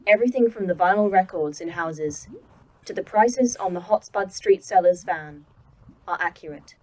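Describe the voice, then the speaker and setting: A woman voicing a calm female AI that runs a secret facility, speaking in a precise, dry manner in an audio drama. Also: fairly low pitch, normal speed, natural voice, rough recording. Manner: precise, dry, oddly proud of hobbies.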